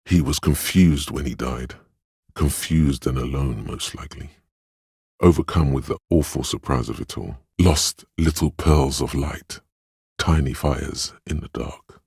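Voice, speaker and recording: An actor playing a grave, compassionate inner voice of empathy in a game, narrating in a measured, solemn and tender way. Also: male voice, extremely low pitch, breathy edge, dry recording, studio quality, full character voice. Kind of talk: dramatic game narration, second person.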